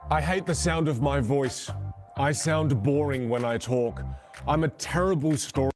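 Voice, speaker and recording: A man's voice: medium pitch, unhurried, a little mellow, close to an everyday voice. Brief: a man putting on a downbeat self-conscious talker, glum and complaining, with faint music behind. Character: self-conscious man unhappy with his own voice.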